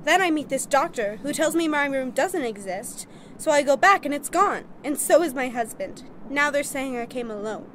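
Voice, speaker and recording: Woman as a high-voiced distressed young bride. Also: lively intonation, moderate pace, fairly bright tone, a little hiss or hum. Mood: anxious, defiant. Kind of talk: radio play acting.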